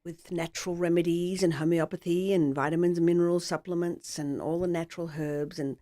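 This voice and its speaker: Aussie woman, middle aged, lowish voice, chatty rambling storyteller as a podcast guest. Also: fairly even intonation, brisk, mellow. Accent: Australian. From Australia. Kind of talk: casual storytelling in an interview.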